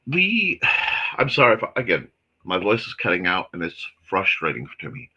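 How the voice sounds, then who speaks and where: A man hosting a casual morning livestream, his voice a bit hoarse and scratchy, talking in an apologetic, rambling way with lots of fillers. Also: low voice, huge pitch swings, normal speed, pushed and bright, lo-fi sound. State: slightly hoarse from a reaction. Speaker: host of a creative morning show.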